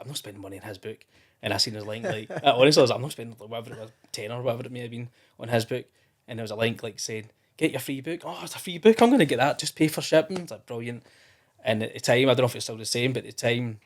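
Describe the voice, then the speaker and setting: Rambling Scottish man, low voice. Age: 30s. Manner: chatty and rambling, with frequent restarts and fillers. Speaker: podcast guest. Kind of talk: casual interview chat.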